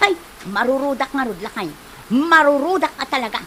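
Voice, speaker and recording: A woman's voice: high voice, animated, brisk, fairly bright tone, a little hiss or hum. A woman acting an envious, snappish village wife in an Ilocano comedy drama, scolding and sneering with an Ilocano accent. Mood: bitter, scolding.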